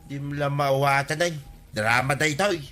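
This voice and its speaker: An actor playing a boastful, excitable village husband in an Ilocano radio comedy drama, with an Ilocano accent. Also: male voice, on the high side, normal speed, faint music behind. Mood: exasperated.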